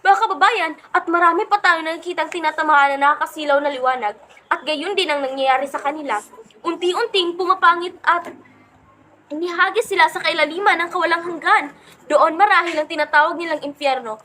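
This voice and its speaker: A woman playing an excitable field reporter in a radio play, dramatically addressing listeners as if reporting live. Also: extremely high pitch, fairly quick, a little hiss or hum. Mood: urgent, dramatic.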